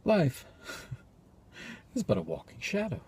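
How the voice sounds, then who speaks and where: Tragic mad king: halting, overwrought, husky, soaring theatrical pitch. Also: wildly swooping pitch, a little mellow, full character voice. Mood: despairing. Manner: halting, overwrought, drawn-out words. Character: despairing, crazed tragic Shakespearean king. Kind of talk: theatrical Shakespearean soliloquy.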